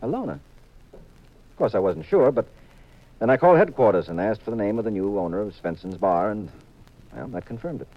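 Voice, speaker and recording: An actor playing a wry, self-assured private detective in a 1950s radio drama, coolly teasing and dry. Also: male voice, lowish voice, animated, fairly quick, pauses often. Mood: explaining, pleased. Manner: cool, dryly teasing. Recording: dull 1950s radio recording.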